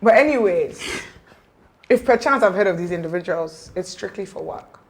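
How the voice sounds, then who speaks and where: A woman's voice: on the low side, animated, normal speed. Playful woman host. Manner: playful, teasing questions. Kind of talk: casual chat.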